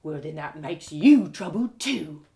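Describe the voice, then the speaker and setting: A woman voicing a sneering, bullying male castle guard with rough, uneducated speech in a storybook reading. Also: low voice, lively intonation, measured pace, a crowd in the background, voice pushed down. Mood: angry, bullying. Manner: rough uneducated grammar, contemptuous.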